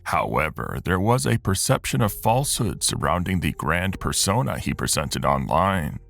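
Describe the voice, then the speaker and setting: A man narrating a true crime story in a formal, measured scripted delivery. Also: deep voice, sing-song, vocal fry. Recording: music bed behind narration.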